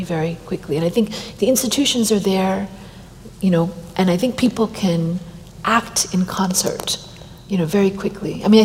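A woman answering on a discussion panel, speaking reflectively and often pausing with "you know". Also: mid-range voice, darkish tone. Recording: long hall reverb, faint noise.

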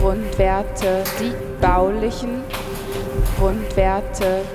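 A woman reciting technical text deliberately, with refrain-like repetition, in an artistic sound-collage style. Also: medium pitch, steady tone. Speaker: podcast performer. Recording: loud music under the voice. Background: loud music.

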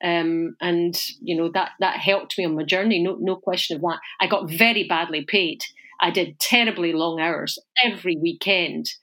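A woman's voice: lowish voice, steady tone, moderate pace, fairly bright tone. Chatty Scottish woman, frequent restarts. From Scotland. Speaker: interview guest. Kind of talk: reflective interview talk.

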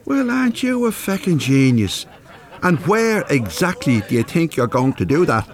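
An older Irish man in a radio play, blunt and sarcastic. His voice sits a little high for a man and swings very widely in pitch. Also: sing-song, normal speed. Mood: sceptical, sarcastic. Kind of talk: radio drama dialogue.

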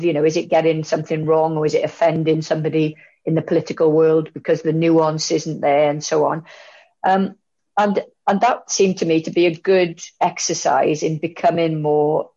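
Woman guest speaker, low voice, candid and thoughtful, muffled call audio. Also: dark tone. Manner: candid, reflective, many fillers and restarts. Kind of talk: online conference Q&A answer. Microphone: video call.

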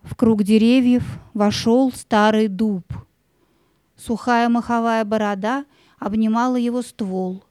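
A woman narrating a children's story in a measured, expressive way, drawing out words for dramatic effect. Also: a little high, no room sound.

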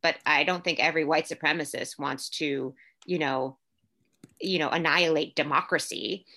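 A woman's voice: sits low, steady tone, moderate pace, pushed and bright. A young adult woman from Puerto Rico, raised in Florida, talking casually as a guest with many fillers and restarts. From Puerto Rico, raised in Orlando, Florida. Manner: chatty, lots of fillers and restarts. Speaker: guest, actor. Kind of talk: casual conversation.

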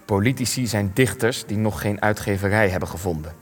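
A male actor plays an elderly war veteran in a radio drama, world-weary and evasive. The voice sits low, with formal, dryly ironic phrasing and some hesitation. It rings in a long hall with music underneath. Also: a little fast, natural voice. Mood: weary, rueful. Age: young adult. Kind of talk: Dutch-language radio play acting.

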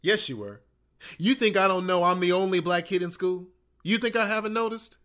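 Man playing an exasperated schoolboy, high and sharp. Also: animated, fairly quick. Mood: sarcastic. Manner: sarcastic, indignant, colloquial. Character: exasperated, sarcastic schoolboy reluctantly playing butler. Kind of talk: comic radio play acting.

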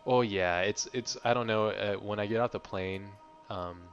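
A fairly low-pitched man in his thirties, from Hawaii, hosting a casual podcast. He rambles through thoughts, swears freely and laughs often, keeping the talk loose and easygoing. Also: normal speed, lo-fi sound, faint music behind. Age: 30s. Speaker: podcast host. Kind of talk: casual chat.

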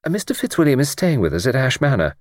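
A man's voice: medium pitch, very animated, fast, dry recording, pitched above the performer's own voice, natural voice. A man voicing a cool, amused young English woman with a dry, composed, ironic manner.

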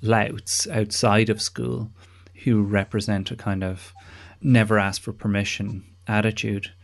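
A man's voice: lowish voice, flat delivery, unhurried, creaky. A man hosting a podcast interview in a wry, discursive, conversational way, with some casual swearing. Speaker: podcast host.

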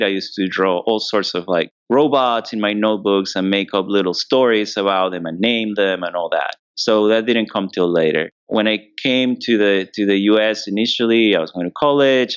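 A man from Venezuela, perhaps in his thirties, answering podcast interview questions in a relaxed way, thinking aloud with fillers and restarts. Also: lowish voice, clear, resonant tone, no echo, dull audio. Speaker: comic book writer and guest.

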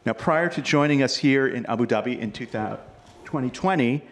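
A man's voice: medium pitch, moderate pace. A man giving a formal, polished introduction of speakers as host of a live event. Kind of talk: formal introduction, partly read.

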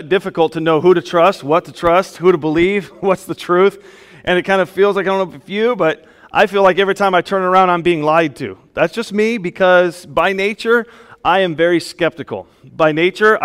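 A man preaching a sermon as pastor, speaking loudly in a conversational way with casual asides, into a microphone in a church. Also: high-pitched, clear, resonant tone. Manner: loud, conversational, self-deprecating asides. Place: church sanctuary. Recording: long hall reverb in a church.

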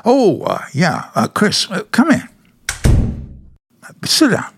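A gravelly, raspy American radio producer, brusque and blustering. The pitch sits fairly high and leaps about wildly, in the manner of 1950s radio drama. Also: male voice, huge pitch swings, slow, background noise, exaggerated voice. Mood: brusque, casual. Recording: vintage 1950s radio recording.

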